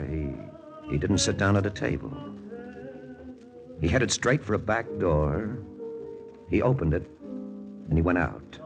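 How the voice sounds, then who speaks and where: An actor playing a hardboiled, wry lieutenant in a 1940s radio spy drama, talking in a terse, deadpan way. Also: male voice, low-pitched. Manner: terse, deadpan first-person narration. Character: hardboiled American lieutenant, wry, jealous, narrating his own spy story. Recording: old radio recording with music underneath.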